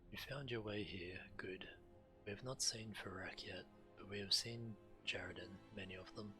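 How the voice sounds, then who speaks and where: An actor playing a stern, businesslike dragon commander in a video game, speaking in a formal, measured and impatient way. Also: male voice, fairly low pitch, sharp tone, soft background music. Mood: grave, businesslike. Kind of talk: video game quest dialogue.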